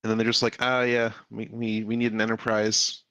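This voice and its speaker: A man's voice: on the low side, completely flat delivery, brisk, a bit bright, no echo. A man in a voice chat, eagerly insisting on things and repeating himself while watching a movie. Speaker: voice chat guest. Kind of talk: casual chat over a movie.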